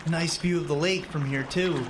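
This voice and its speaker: A man's voice: on the high side, steady tone, moderate pace, noisy background, close to an everyday voice. A man acting in a radio drama as an earnest, easygoing husband back in his northern homeland, speaking in a folksy way. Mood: eager.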